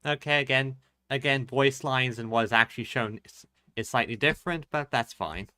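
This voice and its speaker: A man's voice: mid-range voice, moderate pace, sharp tone, smooth. A man giving casual commentary as he plays through a game, talking off the cuff. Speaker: streamer playing and commenting on a game.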